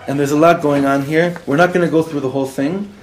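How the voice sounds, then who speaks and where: A man's voice: fairly high pitch, steady tone, fast talker, a little hiss or hum, low-quality recording. A man, a rabbi, teaching a live class to an audience in the room, mixing Hebrew and Yiddish words into his talk and putting questions to his listeners. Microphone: recorded for web students.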